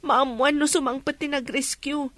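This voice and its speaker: A woman's voice: high voice, fairly even intonation, moderate pace, a bit bright, no echo. A young woman with an Ilocano accent playing an earnest village woman searching for news. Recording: clear crowd noise behind.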